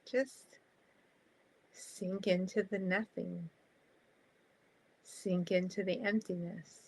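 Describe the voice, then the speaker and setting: A woman guiding a meditation in a calm, soft, spacious manner, leaving long pauses between short phrases. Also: lowish voice, vocal fry, breathy edge, poor audio. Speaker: meditation guide.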